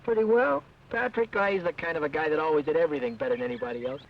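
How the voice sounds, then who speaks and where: A man's voice: fairly high pitch, rapid-fire, natural voice. An actor playing an eager, cheerful young Irish-American man who talks in a chatty way, in 1940s radio acting. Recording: muffled old radio broadcast.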